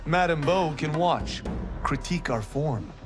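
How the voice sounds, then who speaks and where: A man's voice: fairly high pitch, expressive, unhurried, over music. A man playing a cocky, restless young farmer with a brash, teasing manner in a video game cutscene. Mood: cocky challenge.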